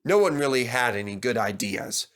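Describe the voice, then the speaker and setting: Bright, animated male storyteller. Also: medium pitch, moderate pace. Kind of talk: animated children's story narration.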